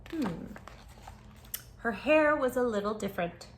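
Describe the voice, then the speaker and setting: A woman's voice: on the high side, expressive, unhurried, a little hiss or hum. A woman hosting a children's storytime, reading aloud expressively with dramatic emphasis and speaking directly to young listeners. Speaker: children's book read-aloud host. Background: music.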